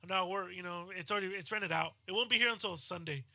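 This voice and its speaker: A man's voice: high voice, quick pace, vocal fry, pushed and bright, no echo. A man co-hosting a podcast in casual banter, laughing often, swearing, and acting out the people in his stories. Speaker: podcast co-host.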